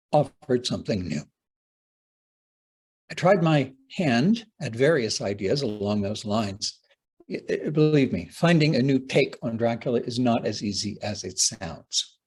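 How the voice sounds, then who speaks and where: A man delivers a prepared lecture in a husky voice. His pitch moves widely as he speaks, with dramatic, deliberate phrasing and wry, opinionated asides. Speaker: playwright giving a talk on adaptation.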